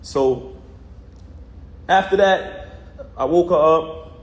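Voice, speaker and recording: A man's voice: a little high, very slow, a little hiss or hum. Heated man telling a story in a roomy echo. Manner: heated, emphatic, with street slang. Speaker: vlogger recounting a personal conflict. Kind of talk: casual first-person storytelling to viewers.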